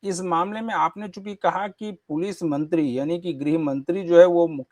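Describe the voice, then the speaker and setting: High-voiced man hosting a news interview. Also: steady tone, moderate pace, a little mellow, no echo.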